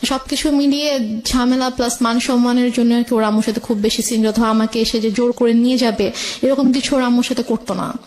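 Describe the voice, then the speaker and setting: A woman's voice: a little high, fairly even intonation, fast talker, darkish tone, low-quality recording. A woman with a Bangladeshi accent telling her personal story as a radio interview guest, hesitantly, repeating phrases and dropping in English words. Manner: mixes English words into speech.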